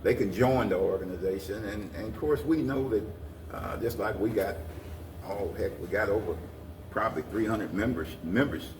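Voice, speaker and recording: Male interview guest, low voice, earnest and repetitive, recorded off-mic in a noisy room. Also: normal speed, roomy sound, far from the mic. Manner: earnest, rambling, repeats phrases. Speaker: guest, community organization president. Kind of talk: conversational interview answers.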